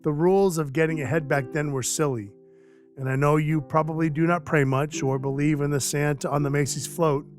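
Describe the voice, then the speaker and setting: A man acting in an audio drama as an awkward, gruff but kindhearted Christmas dwarf who rambles earnestly and runs himself down. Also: on the high side, fairly even intonation, a little fast, close to an everyday voice. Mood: awkwardly earnest. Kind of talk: audio drama acting with first-person narration. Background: music under the voice.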